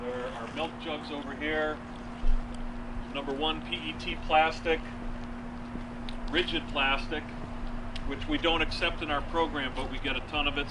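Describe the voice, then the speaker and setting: A man giving an informal explanatory tour in a matter-of-fact, halting way, as a guide at a working facility. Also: a little high. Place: working waste and recycling facility. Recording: distant mic, clear vehicle and machinery noise.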